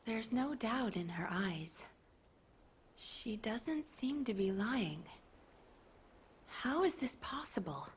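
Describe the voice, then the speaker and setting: An actress playing a composed woman commander in a video game, speaking formally and deliberately. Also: on the high side, expressive, a little hiss or hum. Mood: astonished, hushed. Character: composed woman commander interrogating with measured authority.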